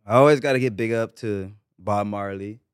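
A man's voice: lowish voice, moderate pace, clear, resonant tone, no echo, clean studio sound. A man from Barbados, guest on a podcast, chatting casually and easygoing, often adding "you know what I'm saying.". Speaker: guest musician.

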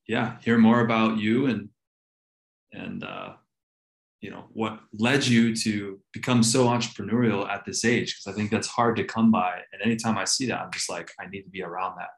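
A man's voice: fairly low pitch, expressive, normal speed, vocal fry. A man hosting a podcast, talking in an easygoing way full of fillers. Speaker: podcast host. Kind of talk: casual interview chat. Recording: echoey room.

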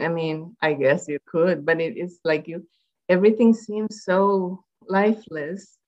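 Rambling Puerto Rican-born woman, low voice. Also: muffled recording. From Puerto Rico. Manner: rambling, with many fillers and restarts. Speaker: guest, public health researcher. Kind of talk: conversational interview.

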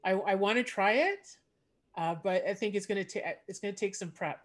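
A woman's voice: mid-range voice, brisk. Chatty woman who laughs often. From Canada. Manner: chatty, laughs often, trailing filler-heavy phrases. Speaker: stand-up comedian. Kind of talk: casual video-call chat.

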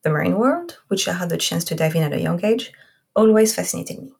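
French-accented woman host, husky and low. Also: animated, a little fast, a little mellow. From Corsica, France. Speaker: podcast host. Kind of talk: scripted intro then casual interview.

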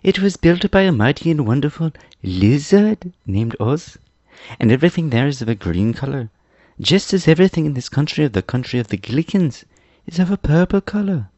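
A man voicing an eager, proud young boy adventurer in a storybook, explaining things excitedly. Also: fairly high pitch, fairly quick, no room sound, muffled recording, voice pushed up.

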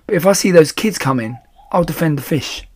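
A man's voice: a little high, animated, fairly quick, a little mellow, a little hiss or hum, voice pushed up, natural voice. A man voicing a weary, earnest bank security guard in a children's storybook. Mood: resigned.

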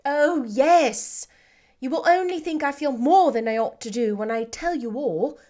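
A woman's voice: high-pitched, animated, measured pace, warm tone, pitched above the performer's own voice. A woman voicing a spirited, witty young woman who talks playfully and teasingly.

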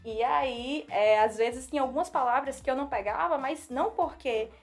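A woman's voice: sits high, normal speed, faint music behind. A young woman with a Northeastern Brazilian accent, chatting earnestly and animatedly about her studies. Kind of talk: casual chat.

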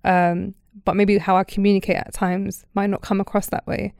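A woman's voice: mid-range voice, flat delivery, moderate pace, creaky, darkish tone, clean tone, dry recording, studio quality. A woman interviewing in a casual, supportive conversation, with an empathetic, affirming way of talking.

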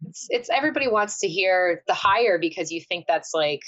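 A woman, a singer, chatting casually on a podcast, telling animated stories and laughing often. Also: on the low side, brisk, dull audio. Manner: animated storyteller, laughs often, many fillers.

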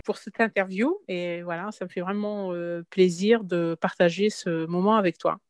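A woman in her fifties from Morocco, a podcast interview guest who talks in a halting way with frequent restarts. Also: fairly low pitch, fairly even intonation, soft background music. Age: 50s. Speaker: interview guest, tea room owner.

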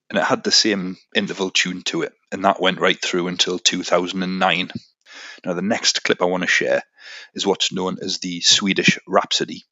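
A man's voice: low-pitched, sharp tone, no room sound, lo-fi sound. A man hosting a solo podcast, explaining things informally and talking directly to the listener. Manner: conversational, addresses the listener directly. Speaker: podcast host.

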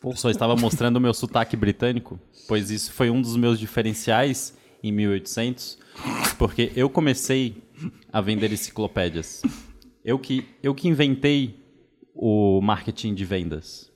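A man's voice: medium pitch, moderate pace, a little mellow, close to an everyday voice. A man playing a pompous 1800s encyclopedia salesman. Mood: boastful. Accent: Brazilian. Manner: boastful, stammering fake-British salesman patter. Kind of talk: improv comedy game.

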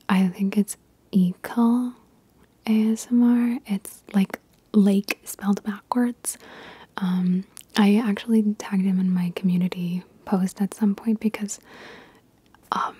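Female ASMR creator, very breathy whisper, soft dark tone, dry close-mic sound, informal rambling delivery. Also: medium pitch, dry recording. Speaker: ASMR video creator answering viewer questions.

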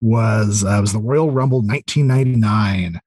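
Husky-voiced man, halting casual chat. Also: fairly low pitch, a little mellow. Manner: halting, filler-heavy, enthusiastic explaining. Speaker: podcast guest, audio drama creator.

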